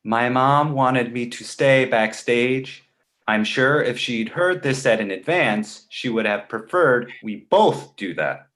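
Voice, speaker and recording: A man voicing a cheeky, crude talking baby boy in an animated comedy table read, sarcastic and blunt. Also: mid-range voice, slow pace. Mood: mocking. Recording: roomy echo.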